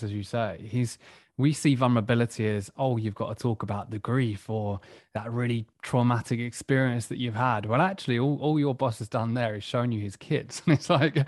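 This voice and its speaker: Male podcast host, Essex accent, affirming and upbeat, mid-pitched voice, dry close recording. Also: no room sound. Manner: enthusiastic, affirming. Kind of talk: conversational podcast interview.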